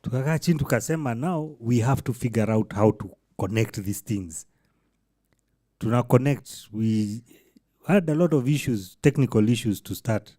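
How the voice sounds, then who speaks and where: A man's voice: medium pitch, animated, moderate pace, mellow, no echo, studio quality. A man co-hosting a casual podcast, talking reflectively and mixing in Swahili phrases. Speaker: podcast co-host.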